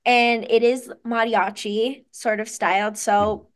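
A young woman with a fairly high voice chats in a relaxed, upbeat way. She talks as a guest singer on an interview show, with plenty of "um"s and false starts. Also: slow. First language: English. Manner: chatty, upbeat, with frequent fillers and restarts. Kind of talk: casual interview chat.

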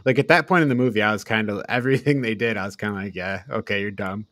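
A man's voice: on the low side, quick pace, fairly bright tone, dry recording. A man hosting a podcast, chatting casually and giving blunt opinions in a review discussion. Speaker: podcast host leading the discussion.

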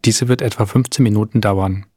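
Low, husky man guiding a meditation. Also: dry recording, studio quality. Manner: calm, soothing, measured with long pauses. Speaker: guided relaxation narrator. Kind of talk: guided meditation, scripted narration.

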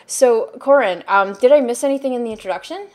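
A woman's voice: fairly high pitch, expressive, brisk. Woman podcast host, upbeat and chatty, filler-heavy interview delivery. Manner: chatty, rambling, upbeat, many ums.

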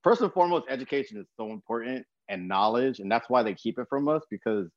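A man's voice: mid-range voice, animated, fast talker, no echo. Dull-sounding young American man, slangy and rambling. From Texas, USA. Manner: informal slangy speech, rambling. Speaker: podcast host. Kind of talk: casual conversational talk.

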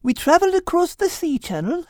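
A man voicing a sweet female dog with a gentle, polite and kindly manner in an audiobook. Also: extremely high pitch, sing-song, a little fast, no room sound, studio quality, voice pushed up. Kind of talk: animated audiobook character reading.

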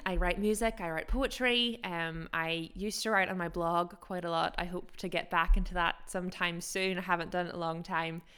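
A woman's voice: on the low side, fairly bright tone, clean tone. A young adult woman with a mixed American, Scottish and Northern Irish accent, co-hosting a casual podcast chat in a chatty, self-deprecating way. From Japan-born, raised in America. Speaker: podcast co-host.

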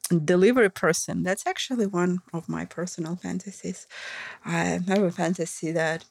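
A woman's voice: lowish voice, steady tone, normal speed, gravelly, slightly rough, no room sound. A woman with a Russian accent, hosting a podcast and speaking frankly and casually in a candid, matter-of-fact monologue. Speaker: podcast host and intimacy educator.

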